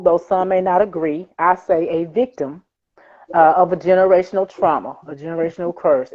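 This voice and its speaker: A woman from Mississippi with a Southern US accent, a guest author explaining her work conversationally, earnest and emphatic. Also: on the low side. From Mississippi, United States. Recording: thin phone-like online call audio.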